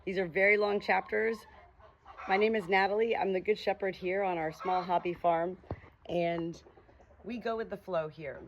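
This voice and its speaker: A woman reading aloud informally with casual asides, speaking in an unhurried way outdoors on a small farm. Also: mid-range voice, fairly even intonation, highs cut off. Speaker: audiobook reader and small hobby farm keeper. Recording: noisy outdoor ambience with farm animals. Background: farm animal sounds, ambient noise.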